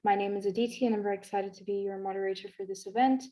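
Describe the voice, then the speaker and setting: Young female moderator for an online student panel, mid-range pitch, dark and relaxed tone. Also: very fast.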